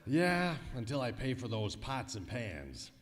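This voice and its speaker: Exasperated, blustering husband played by an actor, medium-pitched and animated, old-time radio comedy in an echoey hall. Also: male voice, unhurried, background noise. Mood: resigned. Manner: grumbling, blustering. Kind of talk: 1940s radio comedy acting. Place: live stage in a museum hall. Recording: hall reverb, live stage performance.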